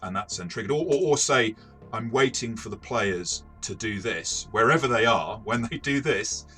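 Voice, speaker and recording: A man's voice: on the low side, animated, normal speed, a bit bright, soft background music. A man co-hosting a casual, analytical game review, explaining things in a hesitant way and often rephrasing mid-sentence.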